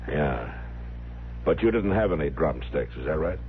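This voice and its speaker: An old west marshal, super deep voice, calm n terse, radio western, hissy narrow old recording. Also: male voice, moderate pace. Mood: probing, measured. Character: calm, grave frontier town marshal, adult man. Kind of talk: 1950s radio western acting.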